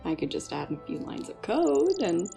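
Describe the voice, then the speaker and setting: A woman's voice: medium pitch, very animated, normal speed, creaky, dark tone, faint music behind. An actress playing a playful, sarcastic female research scientist who teases her colleagues in an audio story. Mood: teasing. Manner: teasing. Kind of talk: audio story character acting.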